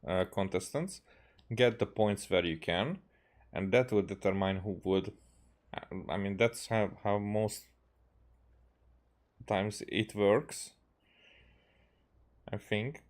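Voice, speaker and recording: A man from Hungary with a Hungarian accent, a native Hungarian speaker, giving casual, halting game commentary as he thinks aloud. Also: on the low side. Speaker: game streamer.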